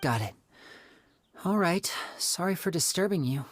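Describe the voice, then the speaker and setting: A woman playing a polite young stage magician and schemer in a video game, measured, courteous and persuasive. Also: lowish voice, animated, slight background noise. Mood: gently probing. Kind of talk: English game voice acting.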